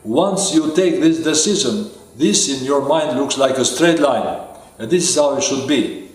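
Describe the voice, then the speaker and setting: A man who speaks English as a second language, giving a motivational lecture as a life coach. He speaks slowly and deliberately, with many hesitations and fillers. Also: medium pitch, expressive, a little mellow. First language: not English. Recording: very echoey room.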